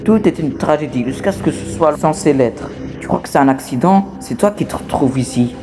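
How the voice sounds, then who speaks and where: A man's voice: a little high, expressive, brisk, over music, natural voice. A man voicing a scrappy, talkative toy outcast, wary but scheming, in a fan dub of a horror game. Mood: wistful, defensive. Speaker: amateur voice actor dubbing a game character.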